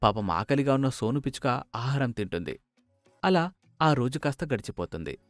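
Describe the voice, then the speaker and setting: A man's voice: mid-range voice, lively intonation, normal speed, no room sound, soft background music. A man narrating a children's bird fable in storybook style.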